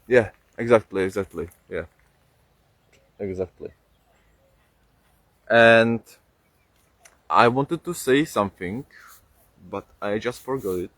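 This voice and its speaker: A young man speaking English as a second language with a Czech accent, talking casually on a livestream, halting with many fillers and restarts. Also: fairly low pitch, fairly bright tone, dry recording. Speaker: livestream host.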